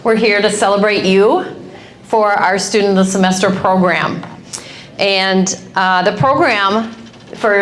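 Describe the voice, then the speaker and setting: A woman's voice: mid-range voice, a bit bright, slight background noise. Middle-aged woman principal, upbeat and direct, projecting to a big room of students on a lapel mic. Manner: upbeat, direct, speaks up to a crowd. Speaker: high school principal. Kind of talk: addressing a student assembly. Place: large school cafeteria with students.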